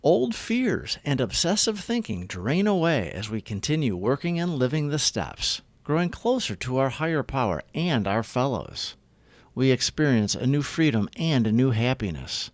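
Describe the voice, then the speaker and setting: A man reading daily recovery reflections aloud in an earnest, deliberate, scripted devotional style. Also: mid-range voice, big pitch swings, dry recording.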